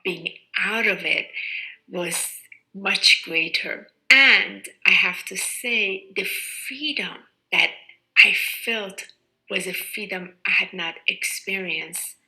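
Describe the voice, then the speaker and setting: A woman reverend slowly and calmly telling the story of her near-death experience. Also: medium pitch, low-quality recording. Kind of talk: slow personal testimony.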